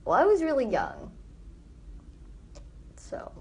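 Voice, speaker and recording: A teenage girl chatting casually on a live stream from her phone, answering viewer comments with lots of "like" and trailing off into quiet asides. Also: high-pitched, huge pitch swings, slow, creaky. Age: teens. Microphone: phone front camera held close.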